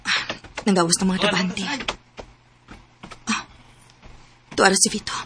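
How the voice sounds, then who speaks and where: A woman's voice: medium pitch, level tone, normal speed, heavy background noise, natural voice. An actress playing a hurt young woman with a jealous streak in a radio drama. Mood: suspicious. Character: wounded young woman, guarded, fiercely jealous when betrayed. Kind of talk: radio drama acting and first-person narration.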